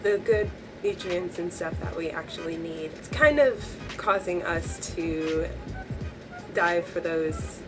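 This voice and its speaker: A young woman with a voice hoarse from a cold, chatting upbeat to camera from a small bedroom. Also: fairly low pitch, slow pace, music in the background, rough recording. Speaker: beauty vlogger. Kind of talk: casual talk to camera.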